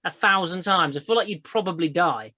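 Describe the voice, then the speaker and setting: A woman's voice: fairly low pitch, a little fast, sharp tone, no echo, studio quality. A young woman, hosting a crude casual chat podcast, blunt and giggly as she rambles. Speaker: podcast host.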